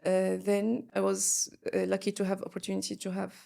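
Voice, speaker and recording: A woman's voice: mid-range voice, completely flat delivery, fairly quick, gravelly, dark tone. A woman from Morocco, a guest on an interview podcast, talking with many fillers and restarts.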